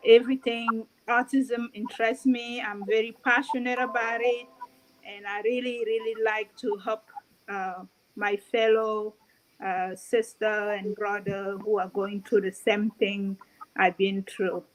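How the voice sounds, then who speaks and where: A woman's voice: mid-range voice, little pitch movement, unhurried, a little hiss or hum, low-quality recording. A woman from Cameroon introducing herself as a guest on a video call, with many hesitations. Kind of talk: online talk show guest.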